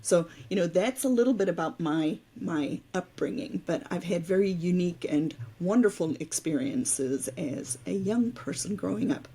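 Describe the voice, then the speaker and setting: A woman's voice: lowish voice, normal speed, few pauses, husky. A woman in her fifties from South Africa, with Afrikaans as her first language, telling her story openly as a podcast guest. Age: 50s. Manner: open, storytelling, relaxed. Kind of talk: casual interview talk.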